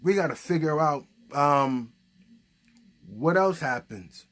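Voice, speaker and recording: A man's voice: on the high side, animated, takes it slowly, a bit bright. A man hosting an improvised puppet show, prompting a story along with conversational questions. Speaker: puppeteer host.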